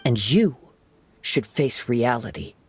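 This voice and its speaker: A man voicing a calm, perceptive young wandering swordsman in a video game, with composed, formal speech. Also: mid-range voice, slow pace, breathy edge, soft background music, exaggerated voice. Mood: firm.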